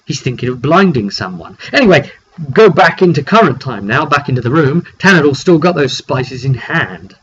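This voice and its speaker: A man's voice: mid-range voice, big pitch swings, normal speed, fairly bright tone. Man recounting a tabletop game, lo-fi recording. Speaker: game master. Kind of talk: informal recap of a tabletop roleplay session. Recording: dull lo-fi mic with background noise.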